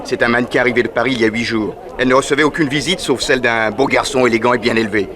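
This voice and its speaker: A man playing a businesslike policeman in a 1960s French radio drama, reporting briskly and respectfully, with a factual manner. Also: medium pitch, steady tone, lightly acted. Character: deferential, businesslike male policeman reporting to detective. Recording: street crowd behind the voice.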